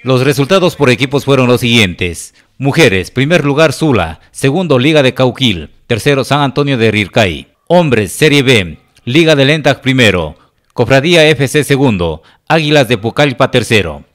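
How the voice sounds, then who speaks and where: A man narrates a local sports report from a script. His voice sits at an ordinary male pitch, presented as news narration. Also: animated, moderate pace, a bit bright.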